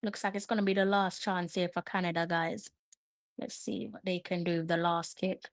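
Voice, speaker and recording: A woman's voice: lowish voice, flat delivery, moderate pace, no echo, studio quality. A woman hosting a live match watch-along, chatting and musing aloud as she reads up on players. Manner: chatty, muses aloud while reading up on players. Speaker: host.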